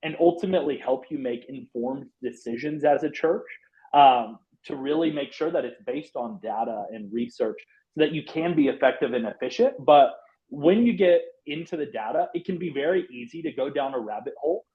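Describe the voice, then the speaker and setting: A former pastor, a man, presenting a church software webinar in an affable way, with lots of "um" and "you know". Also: mid-range voice, expressive, moderate pace. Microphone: video call. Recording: dull lo-fi webinar audio.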